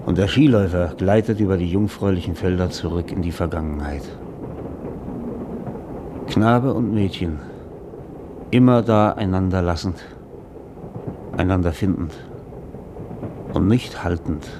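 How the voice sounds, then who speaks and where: Ein Schauspieler spielt in einem deutschen Hörspiel einen alternden, trinkenden Drehbuchautor, der schwärmerisch und pathetisch erzählt. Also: male voice, deep voice, measured pace, pauses often, noisy background. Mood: rapturous, dreamy. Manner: theatrical, rhapsodic, savoring his words. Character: drunken, aging screenwriter, dreamy and grandiose storyteller. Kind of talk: German radio drama acting.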